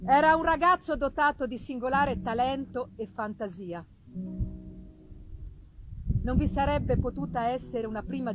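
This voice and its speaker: A woman gives a dramatic reading of a gothic novel, expressive and theatrical, with deliberate pauses, as a literary narrator. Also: sits high, noisy background. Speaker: reader performing a literary text.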